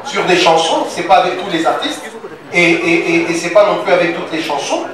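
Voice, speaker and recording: A man speaking as a music platform representative at a press conference, halting with many fillers and repeated words. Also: on the high side. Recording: echoey hall, distant mic, crowd noise.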